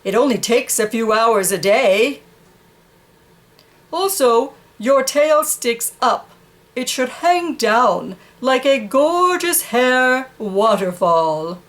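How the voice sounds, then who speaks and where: A woman acts out a big horse from a picture book, proud of its mane and full of condescension. The voice is fairly high and swings widely in pitch. It teases in slow, cooing baby talk. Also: animated. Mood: condescending, vain. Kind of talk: children's picture book read aloud.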